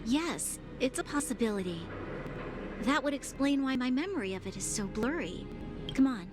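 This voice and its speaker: Brief: a proper young noblewoman, high lively voice, clipped formal delivery, score behind. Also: moderate pace, music in the background. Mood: prim. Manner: precise, cautious, proper. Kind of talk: game cutscene dialogue. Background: game score.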